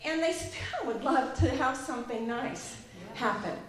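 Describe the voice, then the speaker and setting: A woman tells a meandering story at a church gathering and introduces the next speaker. Her voice sits a bit high. The room around her is strongly echoey. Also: expressive, unhurried. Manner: chatty, rambling storyteller. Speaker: event organizer introducing a guest. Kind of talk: casual church talk.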